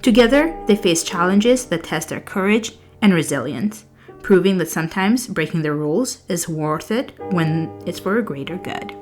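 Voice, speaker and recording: A woman's voice: on the low side, lively intonation, moderate pace, soft background music. A woman whose first language is Albanian, talking casually through book reviews with some fillers and restarts, partly reading out summaries. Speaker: book vlogger.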